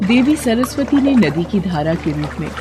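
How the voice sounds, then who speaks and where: A woman tells a scripted devotional tale in a steady, explanatory voice of middle pitch. Soft music plays faintly in the background. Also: moderate pace. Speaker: narrator. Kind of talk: scripted storybook narration.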